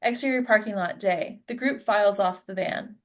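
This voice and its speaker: A woman's voice: mid-range voice, normal speed. A woman narrating stage directions at a table read in a plain, informal way.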